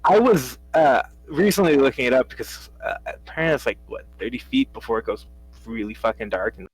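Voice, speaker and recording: A man's voice: medium pitch, expressive, breathy edge, no echo, rough recording. A man playing a tabletop game, chatting casually with frequent restarts. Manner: halting, many restarts and laughs. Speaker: player.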